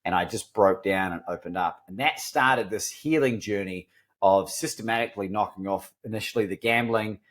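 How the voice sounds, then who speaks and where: A man's voice: lowish voice, moderate pace, a bit bright. A middle-aged man with a mixed New Zealand and Australian accent, telling his life story as a podcast guest in an earnest, self-deprecating way with frequent restarts. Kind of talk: candid storytelling interview.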